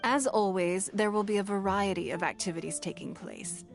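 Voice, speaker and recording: Woman doing a composed noble lady from a video game, medium pitch, stately and formal, music playing behind. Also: normal speed, gravelly, close to an everyday voice. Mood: stately, warm. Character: poised, gracious noblewoman leader, dignified and composed.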